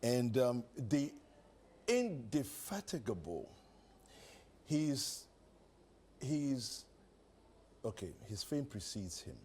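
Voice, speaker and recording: A man's voice: mid-range voice, big pitch swings, drawn-out words, pauses often, mellow, breathy edge. A Ghanaian man hosting a live morning TV show, often hesitating and backtracking as he goes. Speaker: TV breakfast show host. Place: TV studio set.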